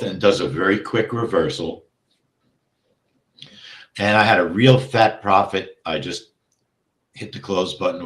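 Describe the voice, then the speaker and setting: A man with a deep, low voice walks through a live trade at an unhurried pace. His voice is going hoarse, and he clears his throat often between steps. The sound is roomy and echoey. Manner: steady, explains step by step, often checks with "okay?". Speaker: trading instructor. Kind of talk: live trading walkthrough.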